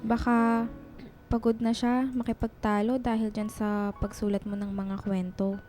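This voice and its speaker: An actress playing a chatty, teasing young best friend in a radio drama. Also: female voice, mid-range voice, monotone, a little slow, warm tone, soft background music, lightly acted. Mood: reassuring.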